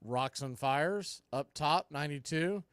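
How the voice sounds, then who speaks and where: A man doing live baseball play-by-play for a fan stream, mixing clipped pitch calls with casual, partisan asides. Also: a little slow, a bit bright, clean tone, dry recording, clean studio sound.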